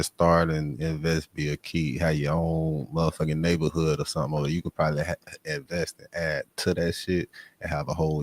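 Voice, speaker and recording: A man speaks in a very deep, bassy voice with almost no room sound. He hosts a reaction show, talking casually with heavy slang and swearing, repeating his points for emphasis. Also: no echo. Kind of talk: casual profane reaction commentary.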